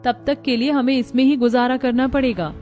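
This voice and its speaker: Worried young wife voiced by a woman, family cartoon melodrama, higher register, earnest, light music bed. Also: steady tone, brisk, darkish tone, close to an everyday voice. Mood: complaining. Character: worried daughters-in-law of struggling cartoon family.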